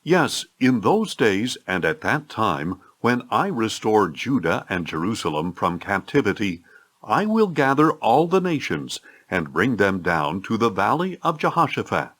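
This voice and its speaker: Slow, expressive male scripture narrator. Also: lowish voice. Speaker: audio Bible narrator.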